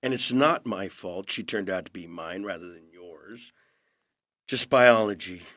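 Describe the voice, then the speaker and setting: Low-voiced, charming older brother. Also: male voice, a little slow, dry recording, studio quality. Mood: fond, teasing. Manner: gentle, wry, understated. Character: aging movie-star brother, charming, evasive, gently attentive. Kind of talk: audio drama acting.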